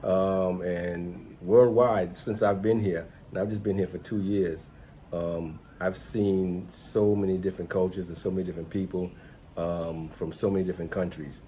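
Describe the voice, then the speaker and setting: A middle-aged American man hosts a casual vlog in a deep voice. He talks in a rambling, reflective way. There is slight noise behind him. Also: fairly even intonation, moderate pace, warm tone. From United States, New York City. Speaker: host, entrepreneur and musician. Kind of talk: casual conversational vlog chat.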